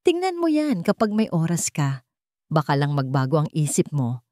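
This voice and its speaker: A woman's voice: low voice, huge pitch swings, normal speed, a little mellow, dry recording, clean studio sound. A woman voicing a sweetly scheming wealthy aunt with a honeyed, falsely caring manner in an audio drama story.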